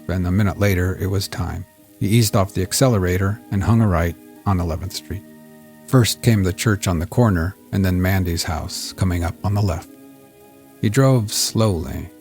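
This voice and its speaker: Low-voiced man narrating a crime story over music. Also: darkish tone. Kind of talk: scripted audiobook-style fiction narration. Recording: music bed under the voice.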